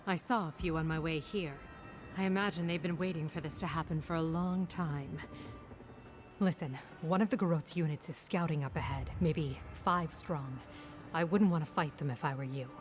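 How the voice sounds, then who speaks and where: A woman's voice: low voice, moderate pace, natural voice. An actress playing a guarded, world-weary woman offering help in a fantasy game cutscene. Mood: guarded, businesslike. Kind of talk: dramatic video game cutscene acting. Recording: music clearly behind the voice.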